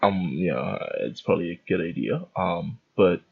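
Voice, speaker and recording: A man in his twenties from the Bahamas, hosting a podcast and rambling in an animated, casual way with many tangents. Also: deep voice, moderate pace, vocal fry, slightly rough. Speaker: podcast host. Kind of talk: casual solo podcast rant. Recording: dull lo-fi sound.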